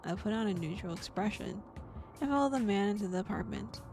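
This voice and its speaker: A woman streamer reading a visual novel aloud in first person, breaking off into animated, exclaiming reactions. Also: mid-range voice, a little fast, soft background music. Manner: animated reactions, gasps and laughs between read passages. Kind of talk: game playthrough, reading text plus reactions.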